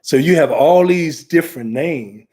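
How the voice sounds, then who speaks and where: A man's voice: on the high side, expressive, measured pace, darkish tone. Rambling conversational American man. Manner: rambling, conversational, many fillers and restarts. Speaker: talk show host, ordained minister. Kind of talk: casual talk show chat.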